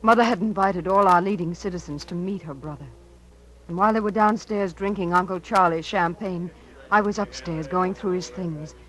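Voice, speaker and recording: An actress playing an earnest, frightened but defiant young niece with an American accent in a 1950s radio drama. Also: female voice, on the low side, moderate pace, fairly bright tone, lightly acted, poor audio. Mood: tense narration. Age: young adult. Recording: vintage 1950s radio broadcast.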